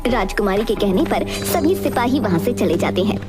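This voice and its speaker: A woman's voice: medium pitch, animated, normal speed, over music. A woman narrating a scripted children's fairy tale.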